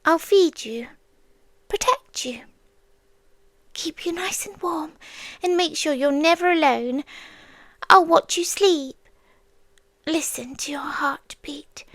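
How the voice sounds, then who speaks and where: A British woman playing a possessive, childlike female sea demon in an ASMR roleplay, speaking in a soft, breathy, coaxing voice that often drops to a whisper. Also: high voice, no room sound. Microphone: close microphone, dry.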